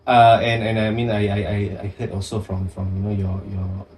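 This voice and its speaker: A man, hosting a casual interview, supportive and quick with short affirmations. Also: fairly low pitch, steady tone, darkish tone, recorded from a distance. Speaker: podcast host.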